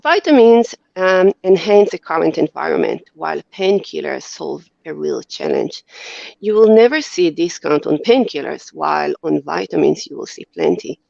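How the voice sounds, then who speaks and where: An Israeli-accented woman in her forties speaks earnestly as a guest on a business podcast, often stopping on fillers and restarting. Her voice carries a gravelly fry. The sound is dry and dull, like a lo-fi remote recording. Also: sits low, lively intonation, moderate pace, a little mellow, no room sound. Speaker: company CEO, guest. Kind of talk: podcast interview.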